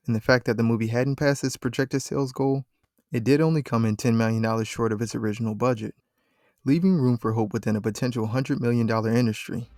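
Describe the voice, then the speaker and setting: A man's voice: mid-range voice, darkish tone, dry recording. A man reading scripted video essay narration in the manner of a documentary. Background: background music.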